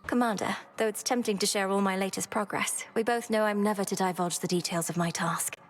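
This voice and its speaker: A woman's voice: medium pitch, steady tone, fairly quick, a little hiss or hum, lightly acted. A woman voicing an earnest young witch princess who speaks formally and politely to her elders.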